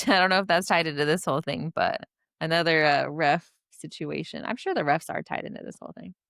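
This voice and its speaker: A woman co-hosts a laid-back podcast, her voice carrying a gravelly edge. She rambles in easy chat and breaks into frequent laughs. Close and dry on the mic, with no room sound. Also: low-pitched, brisk, fairly bright tone, dry recording. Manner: chatty, laughs often, trails off. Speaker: podcast co-host. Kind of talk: casual chat.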